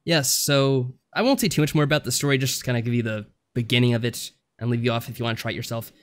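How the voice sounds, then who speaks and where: A man co-hosting a podcast, explaining things casually in a measured, mild and hedging manner. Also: mid-range voice. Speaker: podcast co-host.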